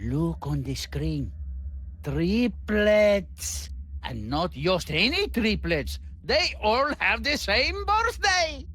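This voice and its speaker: A swaggering mariachi captain holds forth in a Spanish-accented English peppered with Spanish words. His delivery is unhurried and showy, leaping around in pitch. It's game audio with faint hiss underneath. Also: sing-song, sharp tone, exaggerated voice. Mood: boastful. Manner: theatrical, sprinkles Spanish into English. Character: boastful mariachi band captain, adult man. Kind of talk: video game voice acting.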